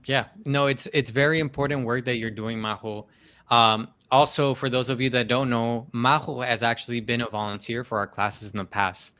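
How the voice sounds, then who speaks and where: A man's voice: mid-range voice, steady tone, moderate pace, bright, forceful tone, clear, resonant tone. A man hosting a business podcast, reading a formal, polite introduction and then interviewing his guest. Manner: formal, polite, reads from notes. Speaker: podcast host.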